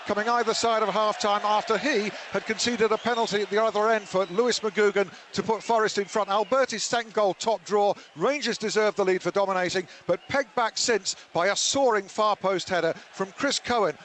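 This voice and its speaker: Male match reporter, high pitch, live from a ground with faint background noise. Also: moderate pace, a bit bright. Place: outdoor football ground.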